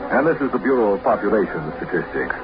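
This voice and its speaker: An actor playing an earnest, courteous Earth official who explains things formally in a 1950s radio drama. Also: male voice, fairly low pitch, lively intonation, quick pace, lightly acted. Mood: formal, explanatory. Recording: muffled, noisy 1950s radio recording.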